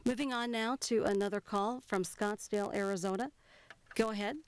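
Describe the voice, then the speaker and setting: A woman's voice: mid-range voice, steady tone, normal speed, clear, resonant tone, dry recording. An American woman presenting live news and taking viewer calls as host. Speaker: TV call-in show host.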